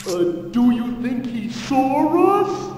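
A man's voice: very high-pitched, very animated, takes it slowly, over music, exaggerated voice. A man playing a goofy, exaggerated cartoon dinosaur toy that tells jokes in a children's game style. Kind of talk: game character telling kiddie jokes. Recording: echoey hall from far off, noisy.

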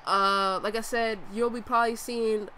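A woman's voice: on the high side, flat delivery, normal speed, sharp tone, a little hiss or hum. A young adult woman with a nasal, congested voice from a cold, rambling casually through gameplay commentary with slang and swearing. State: sick with a cold. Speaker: gaming video creator.

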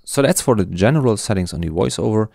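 A man presenting an explanatory tutorial, walking through things step by step in a measured way. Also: on the low side, expressive, vocal fry, a little mellow, no echo, clean studio sound.

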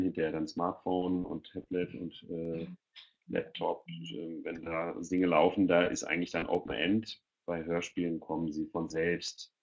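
Very deep-voiced man on a muffled call. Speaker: expert panellist. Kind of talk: casual spontaneous explanation in an online discussion. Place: indoor room, video call. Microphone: headset on video call. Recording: dull, lo-fi video-call audio.

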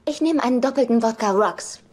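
A woman's voice: fairly high pitch, a little fast, a little mellow, natural voice. An actress playing a playful, eccentric, flirtatious woman in a German-dubbed sitcom. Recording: noisy TV soundtrack rip.

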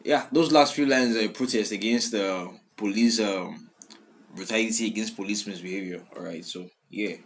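A man's voice: on the low side, moderate pace, slight background noise. A young man hosting a song reaction, talking casually with many fillers and restarts as he repeats lyrics back. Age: young adult. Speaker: music reaction host. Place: small room indoors. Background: song playing in the background.

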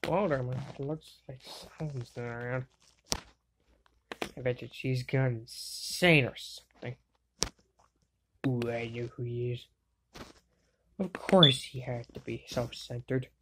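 A woman's voice: a bass voice, unhurried, long pauses, background noise, pitched below the performer's own voice. A woman voicing a brash, cocky, hot-headed young sidekick in an anime fan dub, slangy, mouthy and exclamatory, on an amateur home recording. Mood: grumbling, muttering. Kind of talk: anime fan-dub acting, solo voice clips.

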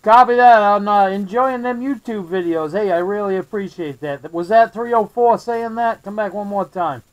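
A man's voice: sits high, normal speed, highs cut off, a little hiss or hum. An American man chatting as a CB radio operator in a friendly manner, with call signs and radio jargon. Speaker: CB radio operator, host of the channel.

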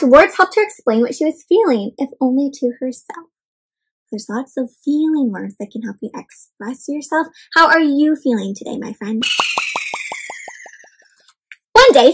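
Upbeat young woman reading a picture book to kids, high pitched, asks them questions, recorded at home. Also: breathy edge, rough recording. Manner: animated, addresses kids as "my friends". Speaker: children's read-aloud host. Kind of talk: interactive picture-book read-aloud. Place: home room with bookshelf and plants.

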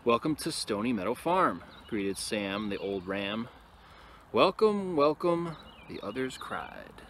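A man reading a picture book aloud expressively to young listeners, with casual, quieter asides, recorded outdoors. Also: medium pitch, big pitch swings, slow pace. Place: outdoors in an open field. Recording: noisy outdoor recording with ambient sound.

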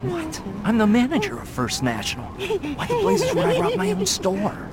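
A man's voice: extremely high pitch, huge pitch swings, normal speed, a loud crowd, full character voice. A man playing an agitated, exasperated jailed store manager in a video game. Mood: indignant. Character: jumpy, indignant male jailed store manager. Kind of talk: game cutscene acting. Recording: loud ambient noise behind.

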